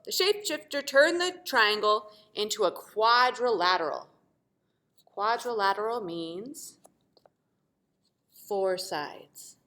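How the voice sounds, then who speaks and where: A woman teacher reading a storybook expressively to children, pausing to explain simply and ask questions. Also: medium pitch. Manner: animated, asks the listeners questions.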